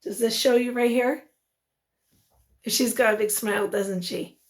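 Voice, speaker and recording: A woman teacher reading a picture book aloud to young children, expressively, pausing to put questions to them. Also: fairly high pitch, steady tone.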